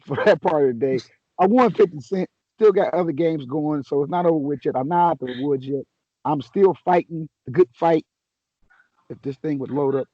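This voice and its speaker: A man hosting a casual sports chat, a little raspy from a sore throat, talking in a laid-back, joking way with many restarts. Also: fairly high pitch, a little mellow, dry recording, dull audio. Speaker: podcast host.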